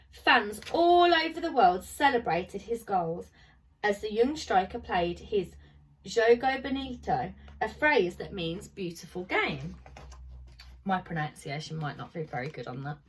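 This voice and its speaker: A woman with a husky voice and a middling pitch, speaking like a primary teacher at story time. She is informal and chatty as she addresses young children, recorded a little way from the microphone. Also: recorded from a distance, poor audio. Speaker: primary school teacher reading to pupils. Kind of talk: reading a children's picture book aloud with informal asides.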